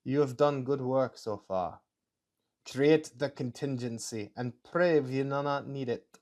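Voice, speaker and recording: Casting: man performing wartime Nazi officer, German accent, deliberate and formal, coldly menacing story villain. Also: mid-range voice, a little mellow, natural voice. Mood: solemn approval. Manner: slow, deliberate, formal delivery.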